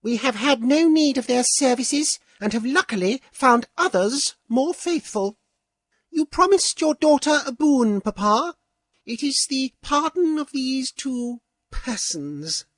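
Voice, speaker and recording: A man's voice: very high voice, expressive, takes it slowly, dry recording, voice pushed up, heavily acted. Man putting on a scornful young princess. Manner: cutting, haughty.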